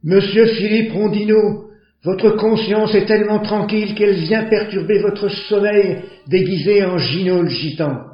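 An actor playing a young man who lives in a caravan, and who later lectures a sleeping man as the voice of his conscience. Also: unhurried. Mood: accusing. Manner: accusing, declamatory moral speech. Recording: echoey, dull low-fi with faint music.

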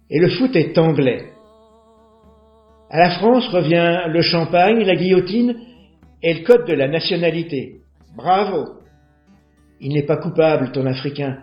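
A man playing Gino, an accusing young neighbour. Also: lightly acted. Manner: accusing, declamatory moral speech. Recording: echoey, dull low-fi with faint music.

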